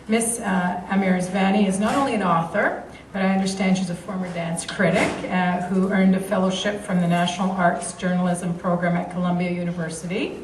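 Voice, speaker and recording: A woman school principal giving a formal welcome speech in a school library, pausing often with fillers. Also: lowish voice, fairly even intonation, a little hiss or hum. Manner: hesitant, with frequent fillers. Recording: distant, roomy school library.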